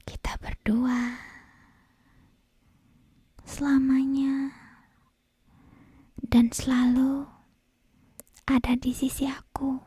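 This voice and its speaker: A woman acts out a possessive, sweetly menacing yandere kidnapper for an ASMR roleplay. Her voice is a very husky, breathy whisper, soft and mellow in tone. She lingers on her words with intimate slowness, very close to the mic in a dry space. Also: high-pitched, monotone, dry recording, full character voice. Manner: slow, intimate, drawn-out phrasing with soft giggles. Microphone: close binaural ASMR microphone.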